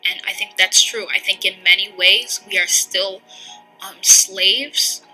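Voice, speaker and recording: A young woman from the United States with a very bright voice at an average pitch. She speaks in a measured, reflective interview style. Faint music plays underneath. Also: steady tone, low-quality recording. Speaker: interviewee in a short film. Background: soft music.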